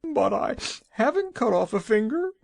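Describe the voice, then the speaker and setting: A shrill, sobbing panicky woman. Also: big pitch swings, moderate pace, vocal fry, no room sound. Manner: wailing, sobbing, frantic. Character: panicky weeping village woman, anxious.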